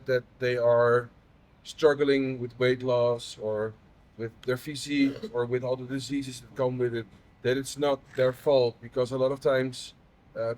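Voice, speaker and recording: A man's voice: mid-range voice, a little mellow, low-quality recording. Hesitant man in his thirties. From Netherlands. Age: 30s. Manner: halting, many fillers and restarts. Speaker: patient advocate interviewer. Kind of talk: personal conversation.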